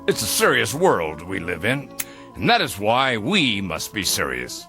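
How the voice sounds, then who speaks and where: A man's voice: on the low side, huge pitch swings, measured pace, bright, forceful tone, soft background music, full character voice. An actor playing a stern, suspicious boss in a radio drama, formal and clipped as he interrogates. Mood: quietly menacing. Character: stern, suspicious male boss, quietly menacing. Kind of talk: old-time radio style drama acting.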